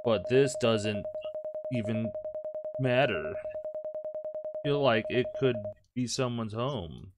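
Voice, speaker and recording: A man's voice: mid-range voice, no echo. A man doing let's play commentary, reading game text aloud with crude jokes and the odd stumble. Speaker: gameplay host.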